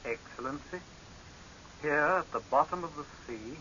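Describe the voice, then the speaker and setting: Hissy thirties radio play. Young male scientist, acted by a man: earnest, formal, guarded, crisp-edged forceful delivery. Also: a little high, moderate pace, natural voice. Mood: cold, defiant. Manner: formal, deferential, restrained. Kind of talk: 1930s radio horror drama acting. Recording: hissy, muffled old radio recording.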